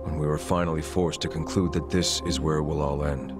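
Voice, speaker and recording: A man playing a stern, formal yakuza clan chairman in a video game, speaking gravely and deliberately. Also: very deep voice, level tone, over loud music. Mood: grave, explanatory. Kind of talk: video game cutscene acting.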